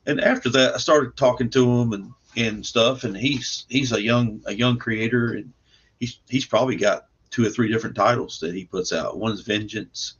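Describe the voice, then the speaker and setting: A man speaking as a guest in a casual interview, in a halting, plain-spoken way. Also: medium pitch, breathy edge, dull audio. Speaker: guest comic book creator.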